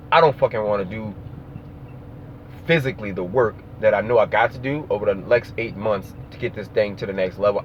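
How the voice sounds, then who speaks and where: A man's voice: fairly low pitch, dull audio, a little hiss or hum. A man with an American accent talking casually as an opinion vlogger, blunt, slangy and profane.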